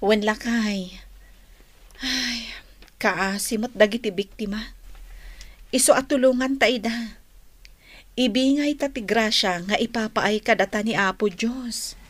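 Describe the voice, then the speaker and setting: An actress playing a compassionate, generous married woman in an Ilocano radio drama, gentle and encouraging. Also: fairly high pitch, a little slow, long pauses, dry recording.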